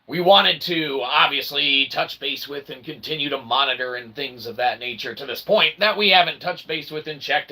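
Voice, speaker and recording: A man's voice: fairly high pitch, pushed and bright, breathy edge, poor audio. A man hosting a comedy livestream in a wordy, theatrical, mock-pompous monologue. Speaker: host of a philosophy comedy livestream.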